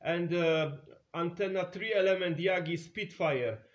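A man's voice: sits high, a little slow, dull audio. A man from Poland with a Polish accent making CB radio contact calls in repetitive, clipped callouts as a radio operator. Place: indoors at a radio set. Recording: background hiss and clicks.

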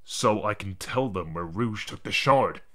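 Casting: male actor as guarded cartoon chameleon detective, stern and exasperated, sharp, lowish voice. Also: animated, a little slow. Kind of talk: fan audio drama acting.

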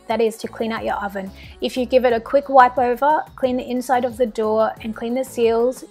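A woman's voice: a little high, darkish tone. A young woman from Australia narrating her home cleaning routine in a friendly, encouraging voice-over, with music playing behind her. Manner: friendly, practical, encouraging tips. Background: background music.

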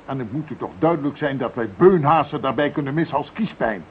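A man acting a firm, courteous police commissioner in a radio play, speaking in a measured, formal way. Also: mid-range voice, phone-quality sound, close to an everyday voice. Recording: thin band-limited radio drama recording, slight noise.

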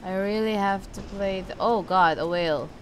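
A woman's voice: medium pitch, normal speed, a little hiss or hum, low-quality recording. A woman giving casual, sweary let's play commentary as a game streamer, reacting aloud in an informal way. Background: video game music.

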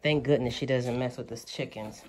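A woman chatting casually behind a handheld camera outdoors on her homestead, laid-back and quick to laugh. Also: very deep voice, a little mellow, a little hiss or hum. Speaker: homestead vlogger filming. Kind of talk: casual vlog chat. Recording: music playing under the voice.

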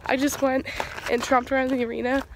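Stuffed up young woman rambling to her phone at the barn, kinda high and croaky, faint hiss behind. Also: little pitch movement, fairly quick. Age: young adult. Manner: chatty, rambling with lots of "like". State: stuffed up, recovering from lost voice. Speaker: equestrian vlogger. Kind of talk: casual vlog chat. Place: outdoors at a horse barn. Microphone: phone camera held at arm's length.

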